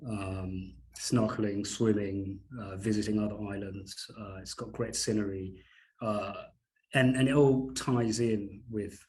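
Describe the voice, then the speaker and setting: A man's voice: lowish voice, darkish tone, low-quality recording. A man from Uganda answering interview questions, with many fillers and repeated words. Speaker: island resident professional.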